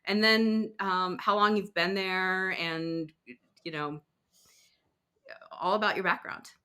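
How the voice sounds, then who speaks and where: A woman's voice: mid-range voice, fairly even intonation, takes it slowly, pushed and bright, clear, resonant tone. A woman hosting a podcast interview, friendly and hesitant, with many fillers. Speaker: podcast host.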